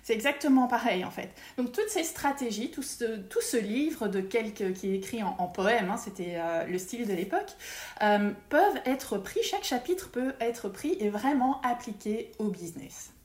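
A woman's voice: fairly high pitch, expressive, normal speed, a little mellow, echoey room. A woman, a feng shui consultant, talking casually in an interview and explaining her work with many hesitations and restarts.

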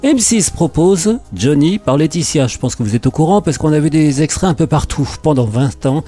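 A man's voice: on the high side, expressive, normal speed, warm tone, faint music behind. A man presenting a radio media column, reading a script with opinionated, informal asides.

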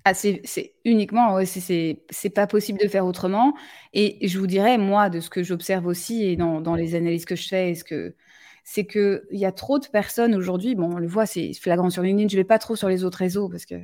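A woman's voice: medium pitch, steady tone, fairly quick, a little mellow. A woman hosting a live talk, speaking directly and plainly, often restarting her sentences. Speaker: live show host. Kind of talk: casual live discussion.

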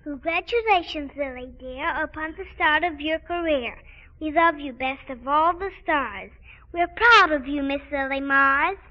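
A dull, muffled 1940s radio recording of a little girl. The voice is extremely high and childlike. She is an excitable, adoring kid sister. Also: unhurried. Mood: proud, reciting.

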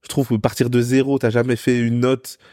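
A male podcast host keeps the interview loose and friendly, and he backs his guest up with quick agreements. His voice is dark and mellow. The sound is dry, with no room around it. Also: medium pitch, little pitch movement, no room sound. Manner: frequent short backchannel replies, chatty questions. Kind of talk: casual interview.

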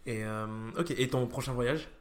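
A young man from France hosts a relaxed conversation at a middle pitch. He hesitates often, restarting his sentences and filling pauses. The room around him is echoey. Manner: hesitant, many fillers and restarts. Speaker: podcast host. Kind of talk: casual chat.

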